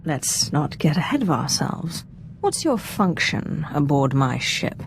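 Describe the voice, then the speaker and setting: Cool, wry, low-voiced Sith apprentice. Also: female voice, very animated, a little slow, slight background noise, exaggerated voice. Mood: brisk, assured. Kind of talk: video game character acting.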